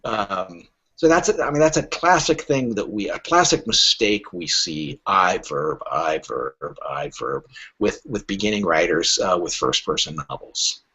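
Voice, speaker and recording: A man's voice: deep voice, expressive, unhurried, pushed and bright, husky. A man talking casually as a guest literary agent on a podcast, telling anecdotes in a wry, emphatic way and acting out the remarks he quotes. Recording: dull, lo-fi podcast audio.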